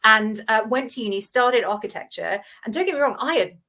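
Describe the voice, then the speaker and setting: A woman in her fifties who grew up in Hong Kong, a guest on a video-call interview, telling her career story with animated acted-out dialogue. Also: fairly high pitch, fairly quick. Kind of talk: conversational interview.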